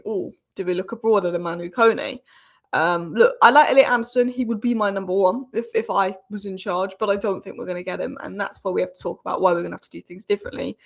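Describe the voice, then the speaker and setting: A woman's voice: mid-range voice, a bit bright. Sniffly woman with a cold. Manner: chatty, opinionated, rambling with fillers and restarts. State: has a cold, sniffly and sore-throated. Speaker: football channel host. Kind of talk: casual live-stream commentary.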